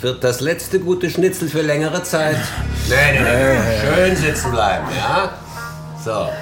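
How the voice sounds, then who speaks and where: Laconic police detective, deadpan, sardonic, echoey room, music behind, radio crime drama. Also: male voice, on the high side, slow pace. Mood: dry, menacing. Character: dry, laconic male police investigator, unhurried and sardonic. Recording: roomy echo, music underneath.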